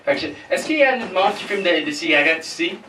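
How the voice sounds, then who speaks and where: Crude stand-up comic, echoey club. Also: male voice, sits high, animated, quick pace. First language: English. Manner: crude, teasing banter with the crowd, swearing. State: nervous. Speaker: stand-up comedian. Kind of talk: stand-up set in a comedy club. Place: comedy club stage. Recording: echoey club from far off, noisy.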